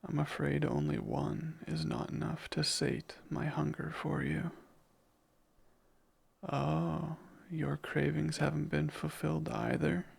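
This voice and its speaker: A man voicing a devoted demon servant in an intimate ASMR romance, murmuring in a husky, breathy, formal way. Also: fairly high pitch, monotone, slow, heavily acted. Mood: devoted, tender. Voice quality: husky, breathy with heavy creak. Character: devoted, yearning male demon servant bound to his master.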